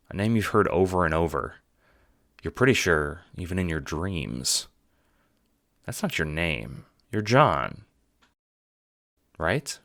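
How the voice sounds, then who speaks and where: A man's voice: deep voice, a bit bright, no echo, studio quality. A man reading scripted second-person audiobook narration with a dramatic storytelling delivery.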